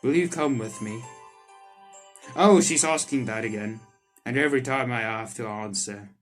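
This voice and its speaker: A man doing let's play commentary on a visual novel, reading the text aloud and reacting to it excitably. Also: mid-range voice, normal speed. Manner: excitable, reacts in sudden loud outbursts. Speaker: streamer playing a visual novel. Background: soft game music.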